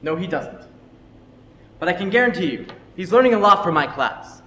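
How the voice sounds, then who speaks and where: Guy acting opinionated history teacher in a stage play, lively high voice, echoey hall. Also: moderate pace. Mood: insistent. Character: earnest, opinionated male history teacher defending his methods. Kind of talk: amateur stage drama acting. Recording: echoey hall, faint noise.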